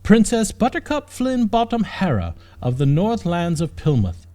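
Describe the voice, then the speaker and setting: A man's voice: high voice, wildly swooping pitch, normal speed, mellow, pitched above the performer's own voice. A man voicing a young princess in an audiobook, haughty and easily annoyed, speaking in prim, formal phrases. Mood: proper, questioning.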